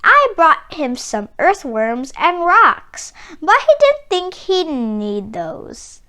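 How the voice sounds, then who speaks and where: A woman reading a children's story aloud in an expressive, theatrical way, drawing out key words for emphasis. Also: high-pitched, slow. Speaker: children's book reader.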